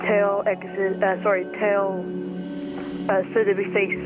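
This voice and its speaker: A man talks as a pilot, hesitating through radio calls and apologizing for his slips. His voice sits high and flat, and it comes over a muffled radio channel. Music plays clearly behind him. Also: a little slow. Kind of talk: radio phraseology.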